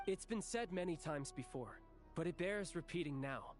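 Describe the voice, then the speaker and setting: A man's voice: on the high side, animated, normal speed, soft background music, close to an everyday voice. A man playing an earnest political representative in a game, arguing in a formal, insistent, articulate way.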